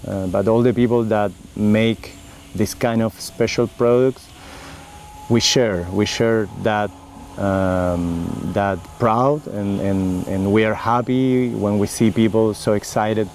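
Slow, gravelly Chilean man, halting. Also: on the low side, fairly even intonation, a little mellow, a little hiss or hum. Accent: Chilean Spanish. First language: Spanish. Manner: halting, many fillers and restarts, earnest. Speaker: winemaker interviewee. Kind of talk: oral history interview.

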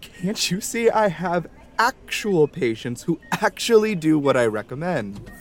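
A man's voice: a little high, very animated, a little slow, faint music behind. A man playing an exasperated, fussy, sarcastic doctor in an audio drama.

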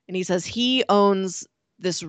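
A woman co-hosting a casual book podcast, retelling a story in an animated way with frequent laughs. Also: mid-range voice, slow pace, dry recording. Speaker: podcast co-host. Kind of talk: casual book-club chat.

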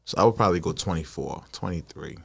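A man's voice: low-pitched, fast, creaky, a bit bright, breathy edge. A man in his twenties co-hosting a casual chat, loose and joking, a bit tipsy, talking over the others. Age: 20s. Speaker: podcast co-host.

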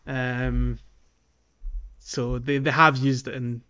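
A man's voice: medium pitch, little pitch movement, slow, bright, forceful tone, clean tone, lo-fi sound. A man hosting a podcast, casually chatting about video games. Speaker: podcast host. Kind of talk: casual discussion of a video game.

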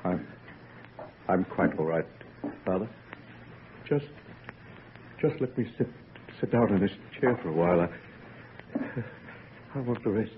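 An obsessed young male scientist in a vintage radio play, heard through a tinny, narrow recording with audible noise. He speaks slowly and haltingly, with theatrical repetition and a feverish pride beneath his shaken weakness. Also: deep voice, lively intonation. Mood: weak, shaken. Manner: halting, repeating phrases, theatrical. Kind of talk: old-time radio drama acting.

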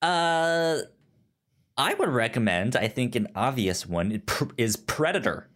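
Man podcaster, mid-pitched, halting delivery. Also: animated, fairly bright tone. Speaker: podcast co-host. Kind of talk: casual chat about films.

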